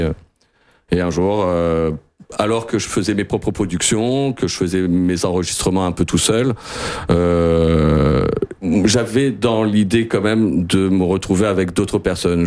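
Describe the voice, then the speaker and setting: Deep-voiced male songwriter, relaxed storyteller, dry close sound. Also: no echo. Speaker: songwriter, band founder. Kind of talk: radio interview.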